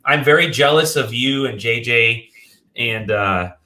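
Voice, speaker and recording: A man hosting a casual video game podcast from home, sick and a bit nasal, joking and clearing his throat often. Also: mid-range voice, a little slow, pushed and bright. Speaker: podcast host. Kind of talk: casual chat. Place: home room.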